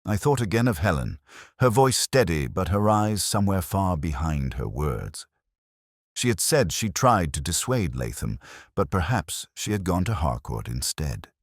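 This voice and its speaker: A man narrating a scripted detective drama as a weary, reflective police inspector, in a brooding, measured, literary manner. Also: low voice, dry recording, clean studio sound. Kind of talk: scripted first-person audio drama narration.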